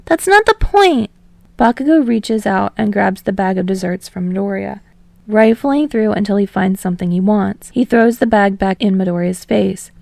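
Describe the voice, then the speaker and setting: A woman narrates scripted fiction as an audiobook reader. Her voice has audible creak and sits at a medium pitch, recorded very dry. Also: moderate pace, mellow, no echo.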